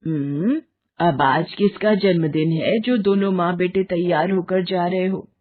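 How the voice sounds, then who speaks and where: A woman's voice: lowish voice, fairly even intonation, a little slow, narrow, tinny audio, voice pushed down. A woman voicing an exasperated, nagging older mother-in-law in a cartoon story.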